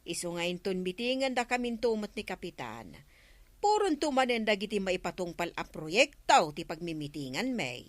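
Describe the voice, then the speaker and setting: A woman's voice: medium pitch, sing-song, moderate pace, no echo, close to an everyday voice. A woman playing an earnest, trusting village neighbour, chatting with an Ilocano accent in a radio comedy drama. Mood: admiring, earnest.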